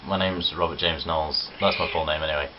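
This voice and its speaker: Very deep-voiced Nottinghamshire lad. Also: male voice, fairly even intonation, fast talker. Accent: English Midlands (Nottinghamshire). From Nottinghamshire, England. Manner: chatty, self-deprecating, rambling asides. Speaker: vlogger. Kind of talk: casual accent-challenge vlog. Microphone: camera on tripod. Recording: dull lo-fi webcam audio with faint hiss.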